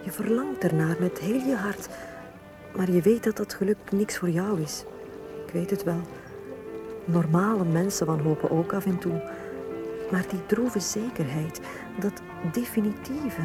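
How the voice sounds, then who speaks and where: A woman's voice: lowish voice, a little slow, pauses often, music in the background, close to an everyday voice. An actress playing a proud, vulnerable young small-statured Venetian woman in a radio drama, speaking frankly and earnestly with a wistful edge. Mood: wistful, loving. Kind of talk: Dutch-language radio drama acting.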